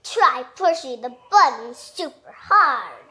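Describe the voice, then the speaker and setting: A woman voicing an excitable, bossy, playful monster from a picture book, in an exclamatory, theatrical read-aloud style. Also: sits high, slow pace, heavily acted. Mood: frantic.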